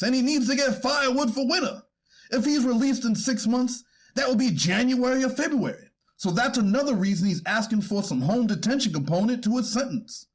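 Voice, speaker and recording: Defense attorney played by a man, high-pitched and very animated, earnest, halting, deferential courtroom pleading. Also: husky. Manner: deferential, formal, halting argument. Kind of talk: readers theater courtroom transcript reading.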